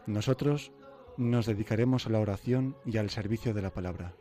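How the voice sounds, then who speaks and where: A man's voice: on the low side, fairly quick, warm tone. A young man with a Castilian Spanish accent speaking as a radio presenter. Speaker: radio co-host, seminarian. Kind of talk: scripture reading and conversational radio. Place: radio studio. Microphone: studio microphone.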